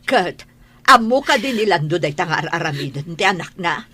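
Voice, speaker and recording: A woman's voice: on the low side, sing-song, moderate pace, sharp tone, slightly rough, heavily acted. A woman with a Filipino accent playing a concerned middle-aged mother-in-law in a radio drama, speaking imploringly. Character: concerned older mother-in-law pleading for her daughter. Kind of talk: Ilocano radio drama acting.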